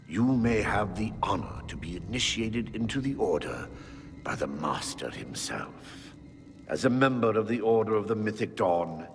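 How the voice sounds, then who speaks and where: Fantasy game cult warden: lowish voice, ceremonious orders, cavernous reverb with soft music. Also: male voice. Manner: formal, commanding, ceremonious. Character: stern male warden of a demonic cult's shrine. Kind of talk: video game character acting. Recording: long hall reverb, faint music behind.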